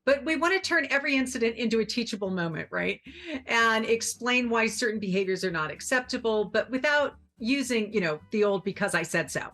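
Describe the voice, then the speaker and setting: A middle-aged woman appearing as a parenting expert on a TV talk show, explaining her advice calmly and clearly. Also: a little high, a little fast. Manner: calm, explanatory, warm advice-giving.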